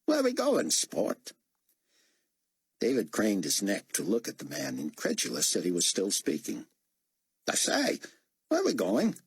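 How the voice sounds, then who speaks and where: A man's voice: lowish voice, measured pace, pauses often, slightly rough, dry recording, clean studio sound, full character voice. A man voicing an overeager, rambling male motor pool chief with an Australian accent in an audiobook. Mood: chatty. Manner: rambling, excited, keeps talking. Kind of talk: audiobook character voice.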